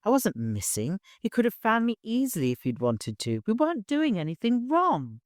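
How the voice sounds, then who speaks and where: A man's voice: high voice, huge pitch swings, moderate pace, dry recording, studio quality, voice pushed up. A man voicing a shaken but defiant young woman in an audiobook, protesting with a hysterical edge. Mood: desperate protest.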